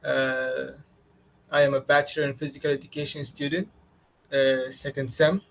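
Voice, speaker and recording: A man's voice: medium pitch, level tone, moderate pace, gravelly, fairly bright tone. A young man from Qatar answering interview questions, explaining step by step with many hesitations. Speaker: interviewee, student.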